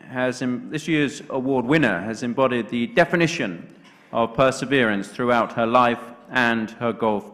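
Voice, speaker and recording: A man's voice: mid-range voice, fairly bright tone. A man in his thirties hosting an awards night on stage, upbeat and joking with his guest. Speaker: awards host. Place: large banquet hall stage. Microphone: handheld mic, later podium mic. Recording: reverberant hall.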